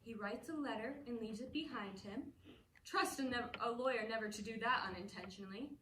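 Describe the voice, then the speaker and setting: An actress playing a worldly, amused stage actress in a play, speaking with poised, gently mocking wit. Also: female voice, on the high side, brisk. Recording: roomy, distant mic, faint noise.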